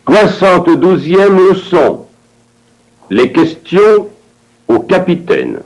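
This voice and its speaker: A man reading scripted dialogue for a language course with an expressive, theatrical delivery. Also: sits high, slow, rough recording.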